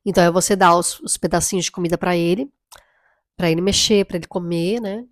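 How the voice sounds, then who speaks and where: A woman speaks in a low, slightly hoarse voice, worn by a lingering cold. She talks in a casual, reflective way, often checking in with her listener. The sound is dry, with no room echo. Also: fairly even intonation, a little fast. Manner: chatty, reflective, often tags lines with "right?" and "you know?". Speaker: mother vlogger. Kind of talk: casual chat to camera.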